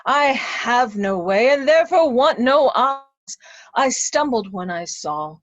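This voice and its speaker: A broken, blind old nobleman laments gravely in slow, halting verse a woman acting him in a high voice. It is a Shakespearean play reading on a dull, dry recording. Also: expressive, no room sound. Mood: despairing. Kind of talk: remote readers' theatre Shakespeare performance.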